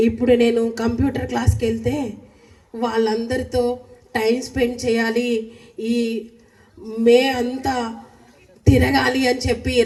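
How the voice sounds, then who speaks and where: A woman's voice: on the high side, vocal fry, a little mellow, far from the mic. A woman voicing a timid, lazy little brother puppet, whiny and stubborn, in a children's puppet show.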